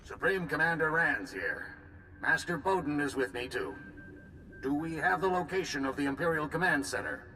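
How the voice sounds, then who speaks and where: Blunt supreme commander, lively bright voice, giving orders. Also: male voice, on the high side, normal speed, a little hiss or hum, low-quality recording. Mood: commanding.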